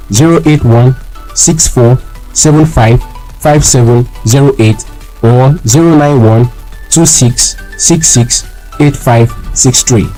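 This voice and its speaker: A man's voice: mid-range voice, mellow, close to an everyday voice, poor audio. A man with a Nigerian accent speaking as a company spokesman in a scripted, formal radio promotion. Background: music.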